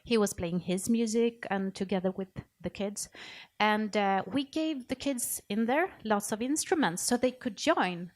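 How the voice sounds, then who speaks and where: Woman, medium pitch, talking on a panel, kinda halting and rephrasing. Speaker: panelist, music project coordinator. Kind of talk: informal panel talk.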